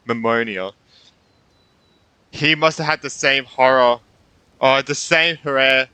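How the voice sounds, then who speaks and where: A man's voice: medium pitch, takes it slowly, sharp tone, clean tone, no room sound. A man giving casual playthrough commentary as a gaming streamer, musing aloud informally in loose phrases on a close microphone.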